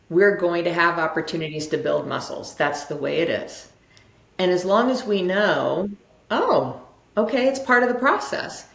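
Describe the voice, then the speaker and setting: Woman, lowish pitch, emphatic coaching talk, conversational storytelling, repeated phrases, echoey room. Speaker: interview guest, self-development coach. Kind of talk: conversational motivational explanation with anecdotes. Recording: roomy, echoing sound.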